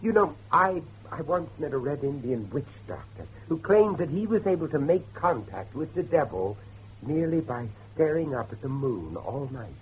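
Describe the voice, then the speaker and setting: An actor playing a grave, grieving father who believes in devilry, speaking emphatically with dramatic pauses in a horror drama. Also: on the high side, big pitch swings, highs cut off, slight background noise. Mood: grave, brooding. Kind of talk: dramatic horror dialogue acting.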